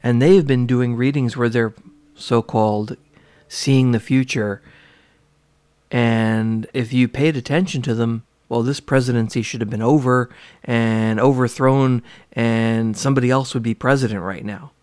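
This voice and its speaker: A man giving a spiritual reading, a little stuffy and congested, with a slightly rough throat, rambling through fillers and restarts. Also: medium pitch, steady tone, unhurried, darkish tone, no echo. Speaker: tarot reader. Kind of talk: casual spiritual talk to camera.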